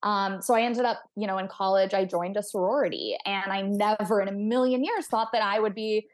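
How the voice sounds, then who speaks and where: Guest, woman, young adult, from Texas: chatty and candid, mid-pitched. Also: steady tone, fairly quick. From United States (Texas). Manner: chatty, outgoing, candid and reflective. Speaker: guest astrophysicist. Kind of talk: podcast interview conversation.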